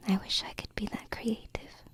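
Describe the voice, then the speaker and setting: A woman whispering softly and intimately into a close binaural microphone in an ASMR roleplay as a gentle, adoring alien princess, with hesitant pauses. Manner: soft, slow, hesitant phrasing with pauses.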